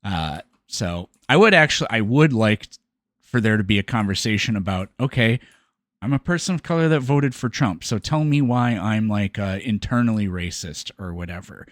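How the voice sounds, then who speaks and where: A man giving casual, off-the-cuff reaction commentary, halting and often stammering as he corrects himself. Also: fairly low pitch, lively intonation, no echo, clean studio sound. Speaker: commentator reacting to a debate video.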